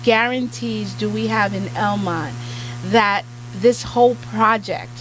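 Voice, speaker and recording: A woman's voice: medium pitch, fairly even intonation, a little slow, a bit bright, a little hiss or hum, rough recording. A woman hosting a casual community interview, often interjecting and switching between languages. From Haitian community in New York. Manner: interjecting, frequent backchannels. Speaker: community radio host.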